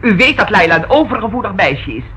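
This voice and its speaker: A domineering aunt with a sharp, forceful voice. She is indignant and haughty, scolding in old radio drama style over faint noise. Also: medium pitch, very animated, quick pace. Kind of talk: Dutch radio play acting.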